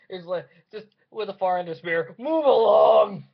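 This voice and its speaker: A young man reacting casually in halting, self-mocking, sweary chat on a webcam mic. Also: sits high, lively intonation, gravelly. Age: young adult. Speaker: reaction video host. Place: small dim room indoors. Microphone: webcam or computer mic at desk distance. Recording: dull lo-fi webcam audio.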